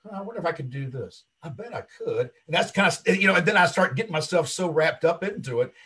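A man in his late fifties with a husky voice, chatting casually and talkatively as an interview guest, self-assured and full of anecdotes. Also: fairly high pitch, animated, fast, sharp tone. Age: late 50s. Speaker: interview guest, wrestling commentator and video creator.

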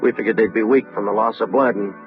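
A male actor plays a hard-nosed detective in a 1950s radio police drama. His low voice moves quickly in clipped, matter-of-fact lines. The old recording is muffled, with faint music underneath. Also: close to an everyday voice.

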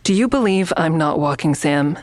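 A woman playing a guarded, earnest woman in an audio drama, speaking in a measured, evasive way. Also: low voice, animated, darkish tone, no room sound. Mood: guarded, insistent. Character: guarded, earnest woman negotiating with police, also narrating. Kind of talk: naturalistic audio drama acting and narration.

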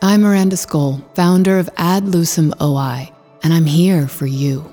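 A low-pitched actress playing a visionary female company founder in an audio drama. She speaks in measured, deliberate phrases with a soothing promotional polish. Music plays clearly beneath her. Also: steady tone, warm tone, natural voice. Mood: assured, inviting. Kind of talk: scripted promotional speech in a fiction podcast.

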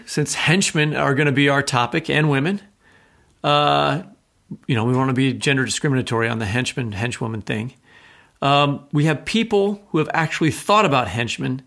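A man hosting a podcast with a mid-pitched voice. He talks casually and colloquially, with a wry, teasing edge, moving between banter and introductions. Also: creaky, fairly bright tone. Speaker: podcast co-host and novelist.

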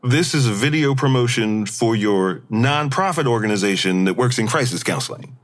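An actor playing a patient, skeptical friend in an audio drama, speaking in a measured, deadpan way. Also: male voice, mid-range voice, natural voice. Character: patient, skeptical male friend, careful and obliging. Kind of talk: naturalistic audio drama dialogue.

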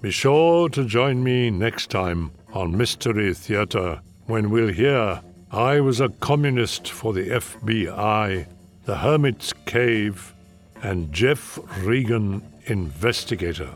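A man hosting a radio mystery show in a measured, formal way. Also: lowish voice, animated, faint music behind. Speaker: host. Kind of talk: radio show host outro.

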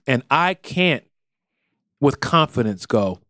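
Male podcast co-host, earnest and lively, dry close-mic sound. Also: mid-range voice, takes it slowly, no echo. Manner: earnest, animated, acts out quoted voices. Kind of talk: casual podcast conversation.

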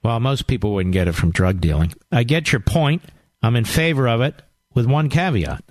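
A man's voice: mid-range voice, lively intonation, moderate pace, no echo, studio quality. A middle-aged man hosting a call-in radio talk show, arguing emphatically and lecturing his callers.

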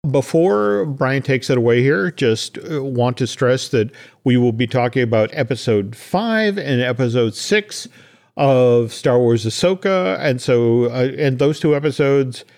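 A man's voice: mid-range voice, expressive, unhurried, darkish tone. A man hosting a podcast, talking in a casual, chatty way about entertainment news, often repeating words for emphasis. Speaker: podcast host.

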